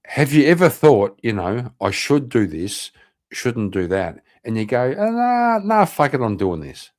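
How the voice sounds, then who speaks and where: A man's voice: mid-range voice, big pitch swings, unhurried, darkish tone. A man hosting a casual livestream, swearing often and talking in a blunt, combative way. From Northern Ireland. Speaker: livestream host.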